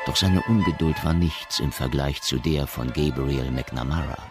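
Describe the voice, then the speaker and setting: A man narrating a science fiction radio drama in a grave, gravelly voice. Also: very deep voice, normal speed. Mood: measured, grave. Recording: music behind the voice.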